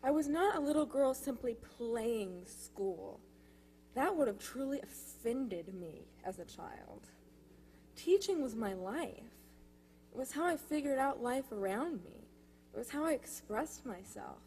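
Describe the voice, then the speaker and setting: A young woman delivering a prepared personal testimonial in church, telling her story in measured, deliberate phrases. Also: fairly high pitch, lively intonation, warm tone. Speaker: youth speaker at a church service. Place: church, speaking to a congregation. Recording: live speech with faint noise and hum.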